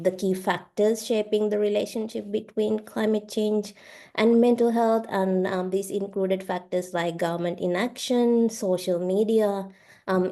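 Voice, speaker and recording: A woman from Sri Lanka, a research fellow giving a steady introduction to an online session, with frequent filler pauses. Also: medium pitch.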